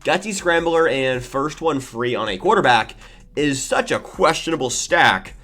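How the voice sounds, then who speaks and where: A man's voice: fairly high pitch, expressive, sharp tone, soft background music. Congested young guy giving game updates. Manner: chatty, loose, thinks aloud with quick asides. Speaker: gaming video creator. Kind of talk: casual game update commentary.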